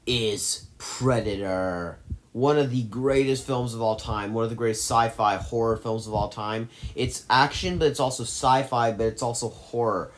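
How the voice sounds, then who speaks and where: A man giving casual, effusive commentary on his favorite movies, rambling and correcting himself as he goes. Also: medium pitch. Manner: gushing, effusive praise. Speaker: movie list vlogger.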